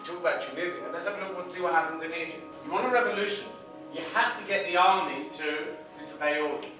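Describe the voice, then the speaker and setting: A man's voice: high-pitched, fast talker. A man with an English accent giving a provocative, self-mocking lecture to a group and cutting off interruptions. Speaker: guest lecturer. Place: lecture room. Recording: echoey room, distant mic, music underneath.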